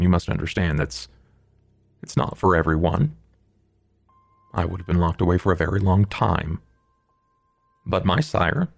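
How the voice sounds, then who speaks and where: A man in a tabletop game playing a huge old vampire who speaks formally, with measured calm and quiet threat. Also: low-pitched, vocal fry. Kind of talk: tabletop roleplay in character. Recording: music bed under the voice.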